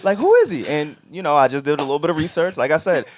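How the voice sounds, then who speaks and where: A man's voice: a little high, big pitch swings, fast talker, slight background noise. A man co-hosting a podcast in casual conversation, with slangy, emphatic, swearing speech. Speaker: podcast co-host.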